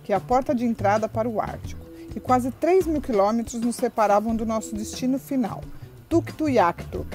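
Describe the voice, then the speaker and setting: A cheerful Brazilian woman tells the story of a long overland road trip, her voice sitting a little high. She has a Brazilian Portuguese accent. Soft music plays faintly behind her narration. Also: moderate pace. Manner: cheerful, laughs often while filming. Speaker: travel vlogger and narrator. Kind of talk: travel narration and casual vlog chat.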